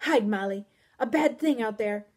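A woman's voice: fairly high pitch, normal speed, darkish tone. A woman voicing a frightened, simple-minded companion in an audiobook story, pleading in short broken phrases. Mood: alarmed, pleading.

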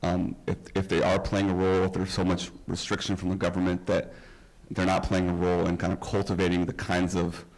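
A man from Iran asks a panelist questions from the audience. His voice is very deep and flat, and he speaks politely with small hesitations. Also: poor audio. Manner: polite, measured, a little hesitant. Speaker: audience member asking questions.

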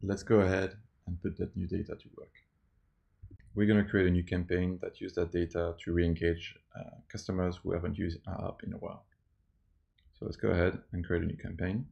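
A man narrating a step-by-step software tutorial as a demo presenter. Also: low-pitched, little pitch movement, brisk, vocal fry, highs cut off. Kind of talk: scripted tutorial narration over a screen recording.

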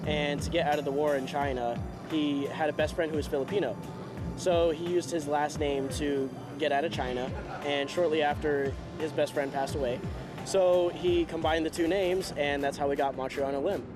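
A man's voice: on the high side, steady tone, moderate pace. Enthusiastic twenties male race driver, interview answers, music under the voice. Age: 20s. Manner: upbeat, enthusiastic. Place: outdoors in a race paddock garage. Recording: loud music bed underneath. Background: loud background music.

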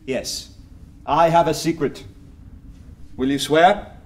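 An actor playing a bold undercover detective in a radio drama, sardonic and animated. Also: male voice, fairly high pitch, slow. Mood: earnest, secretive. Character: wry, bold undercover detective posing as a poet. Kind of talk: full-cast radio drama acting.

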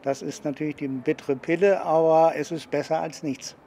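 A man in his sixties giving a spontaneous, halting interview answer as a managing director. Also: fairly high pitch, fairly even intonation, gravelly, warm tone, a little hiss or hum. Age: 60s. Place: outdoor courtyard.

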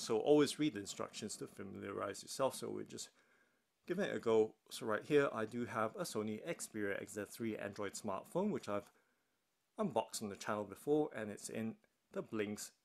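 Low-voiced man demonstrating a gadget. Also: gravelly. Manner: narrates own actions step by step. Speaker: tech unboxing reviewer. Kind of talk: casual unscripted product demonstration. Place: indoors at a wooden table.

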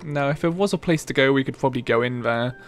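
A man's voice: medium pitch, quick pace. A man giving casual commentary over a video game. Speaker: let's play commentator. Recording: game music behind the voice. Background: video game music.

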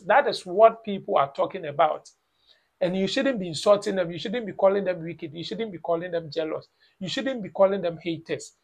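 A man's voice: high voice, creaky, fairly bright tone. A man in his thirties with a Ghanaian English accent, talking to camera at home in a lecturing, emphatic vlog, repeating his points. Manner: lecturing, emphatic, repeats phrases, mocks with "blah blah". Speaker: YouTube reaction vlogger. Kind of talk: opinion vlog commentary. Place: small room at home, indoors.

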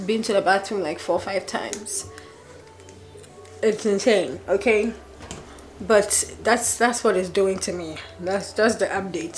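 Young woman, unhurried chatty vlog talk, giggly, home kitchen, music under the voice. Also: medium pitch. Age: 20s. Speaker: vlogger. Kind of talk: casual vlog chat.

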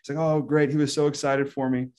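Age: 30s. Sex: man. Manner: animated, laughing, somewhat high voice. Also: fast. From Texas, United States. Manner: animated, laughs often, many fillers and restarts. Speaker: guest scholar. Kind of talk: video call interview. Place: indoor room with plain wall. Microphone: video call webcam.

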